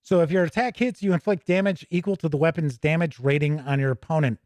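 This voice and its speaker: A man's voice: a little high, fast, a little mellow, dry recording. An American man hosting a tabletop gaming show, reviewing casually off the cuff and thinking aloud as he rambles.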